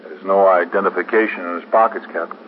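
Actor as dutiful police sergeant, 1950s radio drama: plain-spoken man, lowish pitch, narrow tinny broadcast with light hiss. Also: fairly even intonation, quick pace, close to an everyday voice. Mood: grave, reporting. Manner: dutiful, matter-of-fact reporting. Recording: phone-thin, hissy 1950s radio broadcast.

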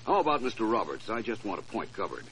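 An actor playing a composed, probing detective with a measured manner in 1940s radio drama style. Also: male voice, fairly low pitch, very animated, a bit bright. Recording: humming, noisy old radio recording.

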